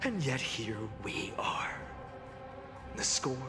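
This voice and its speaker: Slow, solemn fallen hero. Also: male voice, mid-range voice, very animated, in a whisper. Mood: cold disdain. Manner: solemn, grandiose, bitterly scornful. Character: weary, defiant male fallen hero burdened by countless lifetimes. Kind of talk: dramatic scripted video game acting. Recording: loud music behind the voice. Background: game soundtrack music.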